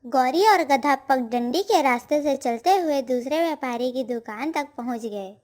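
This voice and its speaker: A woman narrates a moral tale in a high voice. The delivery is scripted storytelling, like a storybook read aloud. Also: lively intonation, a little slow, a little mellow.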